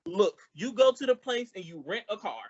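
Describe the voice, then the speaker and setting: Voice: mid-range voice, animated, moderate pace, a little mellow, breathy edge, highs cut off. A performer playing a bumbling, easily confused male sidekick in an old-time radio comedy, full of wisecracking retorts. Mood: baffled.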